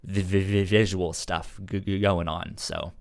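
Halting, hesitant man chatting on a podcast. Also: sits low, little pitch movement. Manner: hesitant, halting, often repeats words. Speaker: podcast co-host. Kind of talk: casual chat.

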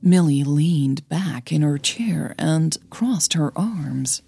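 A woman's voice: deep voice, expressive, takes it slowly, gravelly, dark tone, breathy edge, dry recording, soft background music. A woman hosting a fiction podcast and reading scripted story narration.

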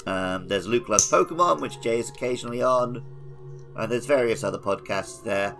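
A man's voice: medium pitch, normal speed, creaky, fairly bright tone, soft background music. A young man co-hosting a casual gaming podcast, chatty and jokingly scolding his listeners. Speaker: podcast co-host.

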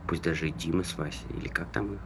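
A man's voice: low-pitched, fairly even intonation, fast talker, fairly bright tone. An actor playing a chatty, easily offended young man at a bus stop in naturalistic colloquial stage dialogue, over street noise. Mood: polite, amused. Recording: noisy street ambience with passing vehicles.

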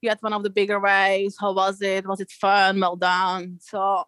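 A woman with a Belgian accent speaks as a guest in a relaxed interview. Her talk is informal and full of surf slang, told in a conversational storytelling way. The sound is dry, and her pitch stays within a fairly narrow range. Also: normal speed, no echo. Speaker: podcast guest, amateur big wave surfer.

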